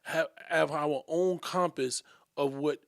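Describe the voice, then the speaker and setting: A man co-hosting a discussion show, reflecting aloud in a measured way and often asking "right?". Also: on the high side, steady tone, creaky, dry recording, studio quality. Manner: measured, thinking aloud, tag questions. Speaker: podcast co-host.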